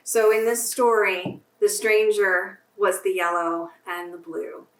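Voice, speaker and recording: A woman reading a children's story aloud expressively, with deliberate phrasing meant for young listeners. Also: mid-range voice, little pitch movement. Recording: roomy echo, distant microphone.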